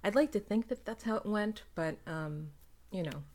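A woman's voice: mid-range voice, lively intonation, normal speed, a little mellow. A woman chatting casually as a panelist in a group question-and-answer conversation. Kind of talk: casual chat.

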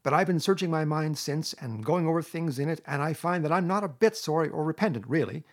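A man voicing a flamboyant, unrepentant toad gentleman in a storybook, with an emphatic, gushing, defiant manner. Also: on the high side, expressive, fairly quick, pitched above the performer's own voice. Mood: impatient, gushing. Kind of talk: storybook character reading.

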